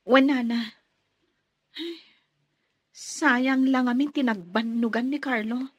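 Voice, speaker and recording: A woman's voice: sits high, takes it slowly, dry recording, muffled recording. An actress playing a devoted young woman in an Ilocano radio drama, a hurt but trusting daughter and sweetheart. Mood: hurt, dismayed.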